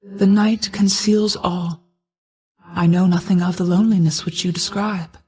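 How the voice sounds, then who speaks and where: A woman voicing an ancient, stately goddess of night in a video game, a stern but caring mother who speaks in a formal, measured, regal way. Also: medium pitch, steady tone, darkish tone, breathy edge. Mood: serene, gracious.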